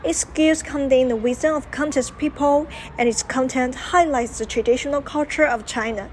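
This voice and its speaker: A woman's voice: sits high, moderate pace. A young woman reading a scripted documentary narration in a careful, read-out manner. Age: young adult. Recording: clear background noise.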